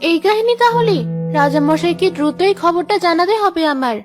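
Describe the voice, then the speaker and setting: A woman's voice: very high voice, expressive, normal speed, music in the background. A woman playing a kind, humble poor girl who poses as a princess in a fairy-tale cartoon. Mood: earnest.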